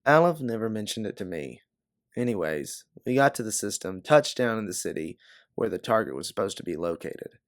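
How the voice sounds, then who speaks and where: A man's voice: on the low side, expressive, a little fast, dry recording, studio quality. A man voicing a guarded, hard-bitten female bounty hunter in a science fiction audiobook. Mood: recounting, guarded. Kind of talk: audiobook character dialogue.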